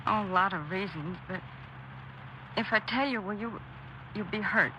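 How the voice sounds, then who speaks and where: A woman's voice: medium pitch, moderate pace, lightly acted. An actress playing an earnest, troubled young girlfriend in a 1950s radio drama, speaking hesitantly. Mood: hurt, anxious. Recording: muffled 1950s radio broadcast, faint noise.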